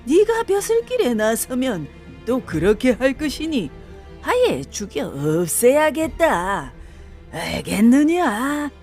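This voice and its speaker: Folktale ghost villain: vengeful, taunting, high and very animated, slow menacing delivery. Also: female voice, soft background music, exaggerated voice. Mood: vengeful, accusing. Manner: taunting, drawn-out threats. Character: vengeful cackling ghosts of executed court eunuchs, menacing. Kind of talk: theatrical folktale storytelling.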